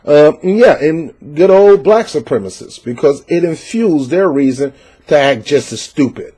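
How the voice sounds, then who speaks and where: A man's voice: a little high, lively intonation, measured pace, dark tone, husky. A man giving opinionated political commentary, reading out a news article and reacting to it with passionate, preachy emphasis and frequent fillers. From United States.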